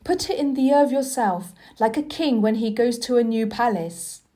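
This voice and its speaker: A woman's voice: a little high, normal speed, dark tone, lightly acted. A woman reading a children's storybook, voicing a blunt but loyal, tender-hearted old gardener with emphatic delivery. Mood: fond, loyal.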